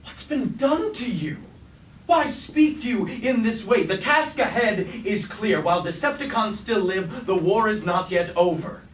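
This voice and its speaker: A man's voice: high-pitched, a little slow. A man playing a loyal, embittered robot soldier in a staged tragedy, declaiming in formal, archaic rhetoric. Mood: defiant. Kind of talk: staged reading of mock Greek tragedy. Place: indoor performance space, recorded from afar. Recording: echoey stage room, distant mic, faint noise.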